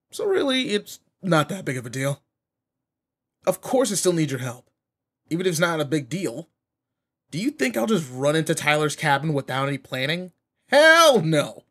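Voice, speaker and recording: A cocky teenage troublemaker played by a man in a roleplay audio drama, with a somewhat high male voice. He talks in brash, casual slang straight to the listener, teasing them while staying protective underneath. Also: fairly bright tone.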